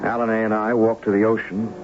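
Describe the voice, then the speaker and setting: Muffled old radio drama, guy acting a deep voiced earnest lover boy, protective and somber, music behind. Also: level tone, fast talker, natural voice. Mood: somber narration. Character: lovelorn young man, earnest, protective of his sea-born sweetheart.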